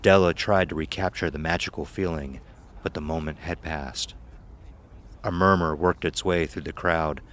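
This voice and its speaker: A man's voice: a bass voice, fairly even intonation, normal speed, fairly bright tone, slight background noise. A man reading a scripted horror story as narrator.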